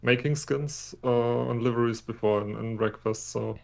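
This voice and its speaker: A man's voice: mid-range voice, fairly even intonation, normal speed, gravelly. A man speaking casually as a guest being interviewed on a livestream. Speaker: livestream interview guest, skin maker and racer. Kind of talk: casual chat.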